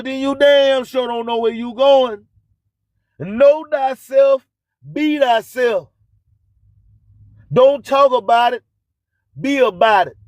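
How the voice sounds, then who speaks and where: Slow, high-pitched preaching radio host, male. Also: no echo. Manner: preachy, emphatic, repeats catchphrases. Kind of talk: slow spoken-word motivational monologue.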